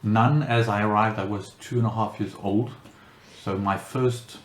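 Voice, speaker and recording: Low-voiced guy from france, lots of uhs and restarts, echoey room. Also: steady tone, a little slow. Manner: hesitant, many fillers and restarts. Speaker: interviewee. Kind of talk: casual interview answers. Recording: roomy echo, faint background noise.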